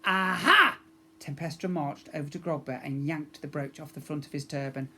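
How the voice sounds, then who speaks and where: A man narrates a children's storybook. His delivery is expressive and clearly aimed at young listeners, like a teacher reading to a class. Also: a little high, a little fast.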